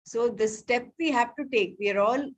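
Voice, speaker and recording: A woman leading a casual scripture study group over a video call, explaining terms patiently and reading passages slowly. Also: on the high side. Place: home, remote meeting.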